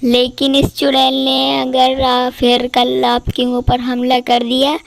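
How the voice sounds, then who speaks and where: A woman playing a woodcutter's anxious village wife with a creaky voice in a cartoon story. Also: high voice, monotone, slow, dry recording, exaggerated voice. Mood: worried.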